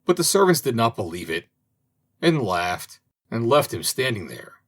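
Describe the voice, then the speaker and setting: Husky man reading a fairy tale aloud. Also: medium pitch. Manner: slow, expressive reading. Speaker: audiobook reader. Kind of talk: storybook narration.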